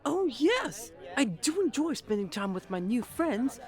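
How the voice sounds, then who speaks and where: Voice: medium pitch, very animated, measured pace, some chatter behind. An actor playing a polite, eager alien host with formal, precise phrasing in an audio drama. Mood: polite, earnest.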